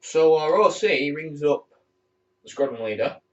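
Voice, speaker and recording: A teenage cadet corporal chatting candidly to camera at home, rambling and swearing now and then. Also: male voice, on the high side, lively intonation, measured pace. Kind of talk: casual talk to camera. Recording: distant, muffled mic.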